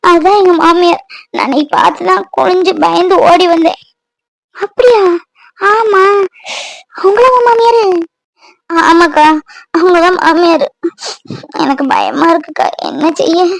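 A woman's voice: extremely high pitch, measured pace, creaky, long pauses, no echo, heavily acted, poor audio. A woman doing a tearful frightened young wife. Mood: pleading. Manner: tearful, trembling, pleading. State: crying. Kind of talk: Tamil domestic audio drama.

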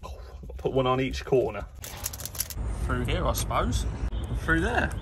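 A man in his thirties with an English accent, chatting casually and excitably as a trucker vlogging from his cab. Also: medium pitch, moderate pace. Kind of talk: casual vlog chat to camera. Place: lorry cab and loading yard. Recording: clear vehicle and outdoor noise.

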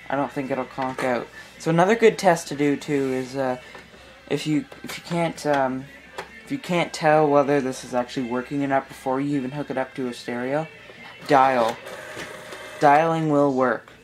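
Male hobbyist presenter, middle register, informal tutorial, thinking aloud at a workbench indoors. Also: mid-range voice. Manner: halting, thinking aloud while working. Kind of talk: casual hands-on tutorial. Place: small indoor room at a workbench.

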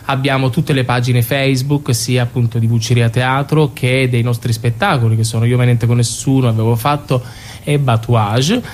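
A man's voice: mid-range voice, fairly even intonation, moderate pace, darkish tone, slight background noise. A man from a theatre company speaking conversationally as a guest in a radio interview.

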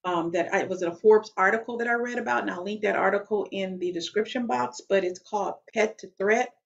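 Woman in her 40s, deep loud voice w/ a gravelly edge, talking to her webcam at home, kinda dull audio. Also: fairly quick. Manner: emphatic, conversational, frequent "okay?" check-ins. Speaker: vlogger. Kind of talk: direct-to-camera talk. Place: small room at home.

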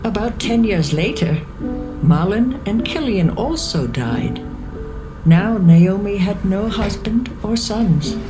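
A woman narrating scripture from a script in a measured storytelling manner. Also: mid-range voice, lively intonation, rough recording. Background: music.

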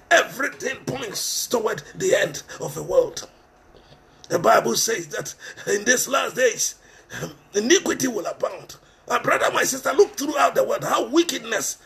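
A middle-aged Ghanaian-accented preacher delivers a fervent sermon through a headset mic in a small room, his voice pitched very high and swinging widely. A gravelly fry runs through it, and he hammers his points home by repeating them. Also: fairly bright tone. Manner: impassioned, repeats phrases for emphasis, switches between English and Twi.

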